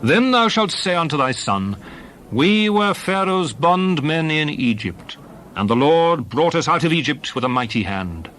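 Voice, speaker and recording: A man playing a solemn prophet exhorting his people in a dramatized scripture reading, with measured, declamatory oratory in archaic English. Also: on the high side, very animated, a bit bright, a little hiss or hum, low-quality recording. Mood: solemn, earnest.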